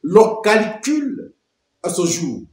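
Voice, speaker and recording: A man's voice: sits high, sing-song, drawn-out words, roomy sound. A man giving emphatic political commentary, full of rhetorical questions and repeated phrases.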